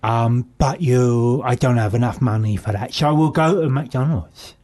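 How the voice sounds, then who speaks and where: A man's voice: medium pitch, a little mellow, natural voice. A man playing a cheeky, cheapskate suitor who woos a woman at a bar. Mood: cheesy. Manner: slow, cheesy crooning with endearments.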